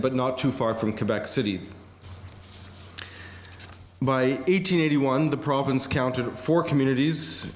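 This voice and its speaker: A man delivering a prepared academic conference lecture, measured, with occasional fillers. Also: medium pitch. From Canada. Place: museum auditorium. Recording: echoey museum lecture hall.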